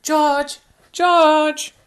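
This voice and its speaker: Squeaky little piggy girl all sorry and pleading, a woman doing the voice. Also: unhurried. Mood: worried. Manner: high, pleading, breathless apologies. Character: little girl pig, cheerful and apologetic.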